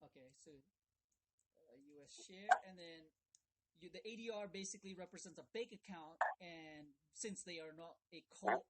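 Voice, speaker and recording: A man calling a brokerage rep, casually explaining things in wordy, halting stretches and often asking for confirmation. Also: sits high, expressive, gravelly, very noisy. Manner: wordy, halting explanations with repeated check-in questions. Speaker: caller questioning a brokerage rep. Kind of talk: casual phone conversation, checking his understanding.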